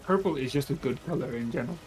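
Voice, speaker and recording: A man who is not a native English speaker, chatting casually on a game stream in a halting, tentative way, often repeating himself. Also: mid-range voice, expressive, darkish tone, low-quality recording. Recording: music behind the voice. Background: background music.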